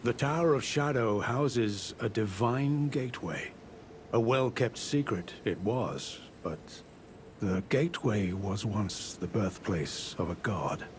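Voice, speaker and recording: An aging knight of a fantasy game, now a scholarly investigator. His voice sits on the low side, and he speaks with grave courtesy and old-fashioned, measured phrasing. Clear background noise and rumble sit behind him. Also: animated. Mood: solemn, thoughtful. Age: older. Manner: formal, archaic, measured, courteous. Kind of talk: video game character dialogue.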